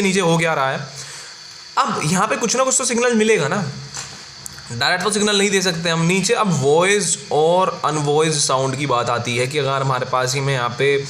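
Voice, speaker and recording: A man's voice: high voice, sharp tone. A man with an Indian accent teaching as an engineering tutor, explaining step by step in an informal, instructional way with frequent check-words. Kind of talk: exam-focused lecture explaining block diagrams. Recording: roomy echo with faint background noise.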